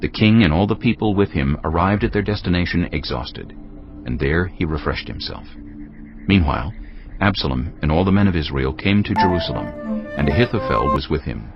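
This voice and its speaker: A man's voice: low voice, lively intonation, brisk, music in the background. A man reading scripted scripture as the narrator of an audio Bible. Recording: dull lo-fi audio with noise.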